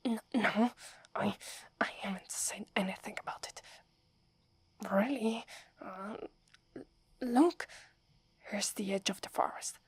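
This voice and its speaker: A woman with an Italian accent voicing a proud young werewolf woman, whispering softly close to the mic and stammering when flustered. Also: mid-range voice, takes it slowly, long pauses, breathy edge, no echo. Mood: shy, flustered. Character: proud young werewolf woman, gruff but secretly fond. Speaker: ASMR roleplay performer. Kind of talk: whispered ASMR roleplay.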